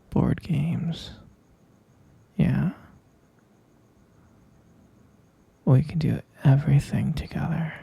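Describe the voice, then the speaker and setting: A very husky, dark whisper from a possessive boyfriend acted out by a man in an ASMR roleplay. He coos and coaxes with slow, halting menace, his breathy voice heavy with gravelly creak. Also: a little high, full character voice. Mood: soothing, smitten. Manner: cooing, coaxing, slow and halting. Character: obsessive possessive boyfriend captor, sweetly menacing.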